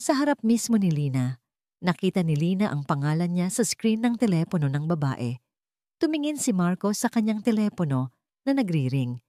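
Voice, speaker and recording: A woman reads a dramatic audio story in a low, soft-edged voice. The tone is mellow and dark, and the sound is close and dry, as a scripted narration. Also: big pitch swings, moderate pace, dry recording.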